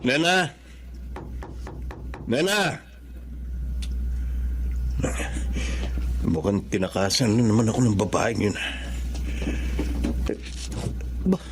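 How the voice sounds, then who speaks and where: A wronged, caring Filipino dad in a radio drama. He is earnest and sorrowful, with a medium-pitched voice that rises and falls a lot, over clear background noise. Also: big pitch swings, normal speed. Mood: worried, searching. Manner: earnest, emotive. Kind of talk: Tagalog radio drama acting. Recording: noisy, with ambience behind.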